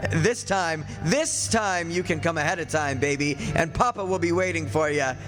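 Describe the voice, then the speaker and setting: An actor playing a smug, murderous husband who sweet-talks and then panics, in old-time radio drama style. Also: male voice, on the high side, normal speed, long reverb tail, close to an everyday voice. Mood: smug, gloating. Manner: theatrical, muttering sweet talk to himself. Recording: stage radio play with music behind.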